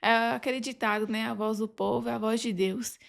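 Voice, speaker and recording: A woman's voice: a little high, steady tone, normal speed, creaky. A woman with a Brazilian accent speaking as a podcast guest, explaining earnestly in a conversational way. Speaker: podcast guest, legal advisor.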